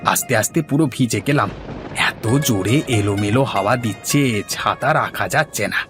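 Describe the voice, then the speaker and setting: A man's voice: lowish voice, fairly quick, music in the background, natural voice. A man voicing a weary, kindly uncle who runs a tea stall in a Bengali cartoon. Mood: cheerful grumbling.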